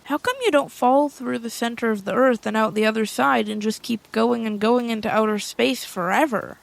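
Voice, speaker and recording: A woman's voice: fairly high pitch, steady tone, normal speed, creaky, voice pushed up. A woman voicing a chatty, eager and nervous young girl adventurer in an audio storybook, rambling and wide-eyed. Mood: curious, determined.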